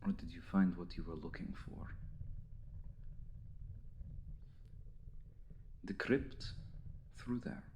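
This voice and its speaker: Male actor as ancient vampire lord, very low voice, suave, hypnotic menace, hushed ASMR roleplay delivery, faint background noise. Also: close to an everyday voice. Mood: amused, softly menacing. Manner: deliberate, suave, condescending, hypnotic, often dropping to a breathy murmur. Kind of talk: ASMR roleplay, intimate dramatic monologue.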